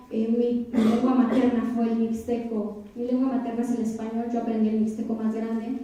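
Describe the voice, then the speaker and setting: A young woman from Oaxaca, Mexico, giving a reflective keynote lecture to a university audience, often pausing on fillers and putting questions to the crowd. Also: fairly high pitch, mellow. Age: 20s. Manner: frequent hesitation fillers. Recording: echoey hall, voice from far off.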